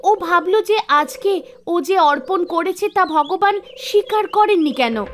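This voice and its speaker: Female cartoon story narrator, high register, scripted storytelling over soft background music. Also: expressive, unhurried.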